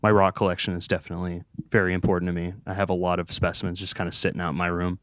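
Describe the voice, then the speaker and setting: A man's voice: deep voice, flat delivery, very fast, a bit bright, clean tone, no echo. A young American man chatting casually as an interviewed band musician, joking, rambling and swearing. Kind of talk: casual chat.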